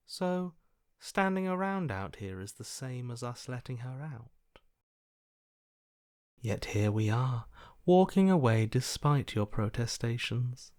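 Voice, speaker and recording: A man playing a cold, bored, detached inner voice in a video game, aloof and deadpan, indifferent to death. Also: mid-range voice, no echo, clean studio sound. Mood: coolly menacing. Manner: deadpan, aloof, slow and deliberate. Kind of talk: video game character voice acting.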